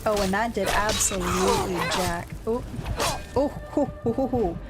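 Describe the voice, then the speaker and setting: A woman's voice: mid-range voice, unhurried. Woman chatting over game music. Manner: chatty, thinking aloud, playful exclamations. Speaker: gamer streaming a blind play-through. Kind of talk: casual gameplay commentary.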